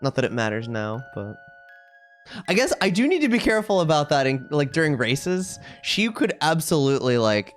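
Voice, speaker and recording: Animated streamer thinking aloud over music. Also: medium pitch, normal speed. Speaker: video game streamer. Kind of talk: casual live stream chat with viewers.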